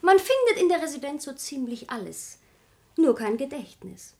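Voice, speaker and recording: A woman's voice: high voice, very animated, normal speed. A woman playing a coy, pert young daughter who teases with pointed politeness in a comic radio drama. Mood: coy, teasing.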